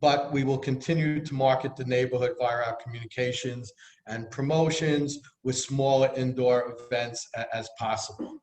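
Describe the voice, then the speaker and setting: A man's voice: mid-range voice, steady tone, normal speed, darkish tone, reverberant, low-quality recording. Bronx man reading a finance report. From New York. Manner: hesitant, many fillers and self-corrections. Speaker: board treasurer giving a finance report. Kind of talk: virtual meeting report. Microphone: video call.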